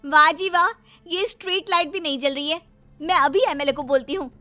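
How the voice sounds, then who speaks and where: Woman doing a bossy young indian bahu for a cartoon, really high voice, always complaining, music behind. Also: a little fast, bright, forceful tone, no echo. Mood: indignant. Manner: indignant, assertive, exclamatory. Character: brash, outspoken young Indian daughter-in-law, quick to complain. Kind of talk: animated Hindi moral story acting.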